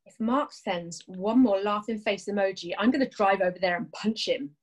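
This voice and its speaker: A woman's voice: mid-range voice, normal speed, close to an everyday voice. A woman acting a blunt, sarcastic elder sister who holds a grudge, in a naturalistic drama. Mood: curt, irritated. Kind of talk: naturalistic stage drama dialogue.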